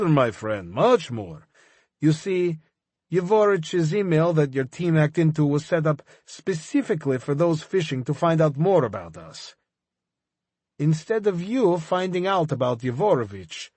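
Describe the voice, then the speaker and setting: A man voicing a taunting, fanatical male arms smuggler, higher and louder than his narration, with slow drawn-out gloating. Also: dry recording.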